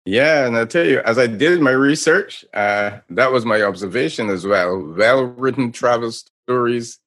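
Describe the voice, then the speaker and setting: A man co-hosting a casual travel podcast, easygoing and quick to laugh along. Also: mid-range voice, a bit bright. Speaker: podcast co-host.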